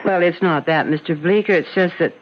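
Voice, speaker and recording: An actress playing a brisk, courteous law office secretary in an old-time radio drama. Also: female voice, on the low side, background noise, lightly acted. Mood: polite, firm. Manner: crisp, courteous, professional. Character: brisk, polite female law office secretary, protective of boss. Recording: dull lo-fi old radio recording.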